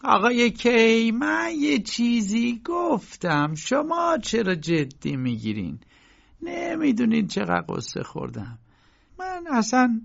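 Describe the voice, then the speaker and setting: A man voicing a fretful middle-aged landlady who pleads in a high, drawn-out voice. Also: gravelly, breathy edge, full character voice. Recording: dull lo-fi recording.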